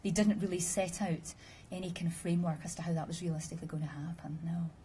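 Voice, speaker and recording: A woman giving a measured, explanatory conference presentation and answering questions with dry humor. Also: lowish voice, little pitch movement, warm tone, slight background noise. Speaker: conference speaker. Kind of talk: formal presentation with audience questions.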